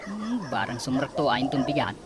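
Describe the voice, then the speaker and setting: An actress playing a curious schoolteacher colleague who asks after a missing teacher. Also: female voice, very deep voice, sing-song, moderate pace, heavy background noise. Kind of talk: radio drama acting. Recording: music playing underneath.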